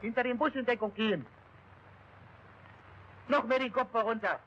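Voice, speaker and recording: An actor playing a strict German military instructor, clipped, commanding and scolding, on an old 1940s training film soundtrack. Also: male voice, very high voice, moderate pace, fairly bright tone, highs cut off. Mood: stern, commanding.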